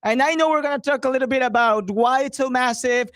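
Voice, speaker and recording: A man's voice: very high-pitched, fast, a bit bright, no room sound. Male sports radio guest, casual conversational delivery, close dry recording. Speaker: guest, soccer broadcasting colleague.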